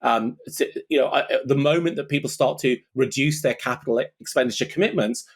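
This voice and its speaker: A man with an average-pitched voice answers as an expert guest. He is conversational and explanatory, reasoning aloud one step at a time. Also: fairly quick, slightly rough. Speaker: expert guest, technology analyst.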